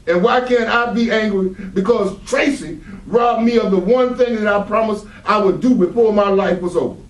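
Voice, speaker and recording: A man's voice: high-pitched, normal speed, natural voice. A man playing an anguished, bitter father crippled by anger, in a dramatic stage reading recorded in a roomy space. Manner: dramatic, emotional delivery. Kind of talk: stage readers theatre acting. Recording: roomy hall, distant microphone.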